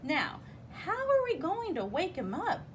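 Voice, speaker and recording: A woman's voice: extremely high pitch, huge pitch swings, a little slow, a little hiss or hum, pitched above the performer's own voice, exaggerated voice. A woman voicing a fretful, bossy grown-up aunt groundhog in a children's storybook.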